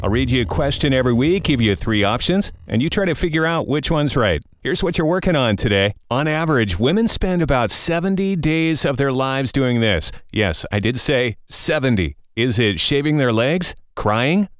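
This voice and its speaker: A man's voice: mid-range voice, expressive, moderate pace, hardly any pauses, no echo, clean studio sound. A middle-aged American man hosting a podcast in upbeat morning-radio style, with jokey, informal asides. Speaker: podcast host. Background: music.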